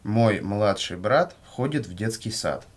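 A man reading scripted questions and answers for a language lesson in a measured way. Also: on the low side, lively intonation. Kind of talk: scripted language-lesson dialogue, read aloud.

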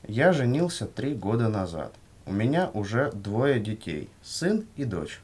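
A man reading scripted questions and answers for a language lesson in a measured way. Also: fairly low pitch, big pitch swings, a little mellow, breathy edge. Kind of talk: scripted language-lesson dialogue, read aloud.

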